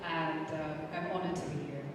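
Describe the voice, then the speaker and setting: Low flat-voiced woman in a hall. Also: moderate pace, far from the mic, heavy background noise. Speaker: voice actress. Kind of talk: short thank-you speech. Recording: echoey hall, mic from afar, crowd.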